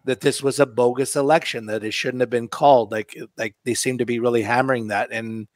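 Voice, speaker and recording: A man's voice: medium pitch, steady tone, brisk, dry recording, clean studio sound. A man with a Canadian accent co-hosting a podcast in casual, opinionated chat. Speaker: podcast co-host.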